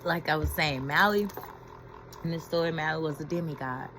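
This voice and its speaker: A woman's voice: low voice, moderate pace, bright, forceful tone, a little hiss or hum. A woman giving a reflective inspirational talk as a spiritual healing coach, repeating key phrases and laughing as she retells a story.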